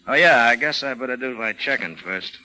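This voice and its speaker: Wry cop, suspicious, cynical, piercingly sharp tone, lively intonation, vintage radio drama. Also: male voice, medium pitch, fairly quick. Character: wry, suspicious, cynical male police lieutenant. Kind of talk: 1940s radio drama acting.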